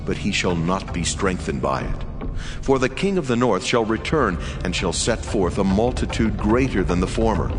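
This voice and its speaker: An actor playing a solemn, commanding angel messenger, declaiming prophecy in a dramatized scripture reading. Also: male voice, deep voice. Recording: long cavernous reverb, music underneath. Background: music.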